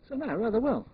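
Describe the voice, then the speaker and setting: High-voiced man on muffled audio. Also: breathy edge. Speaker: amateur television operator. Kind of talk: casual unscripted on-air sign-off.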